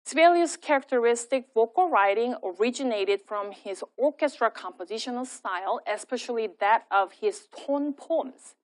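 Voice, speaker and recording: A woman with a fairly high-pitched voice delivers a prepared lecture. She reads her text at a steady, measured pace, like a teacher presenting research. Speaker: lecturer and singer. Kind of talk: scripted academic lecture.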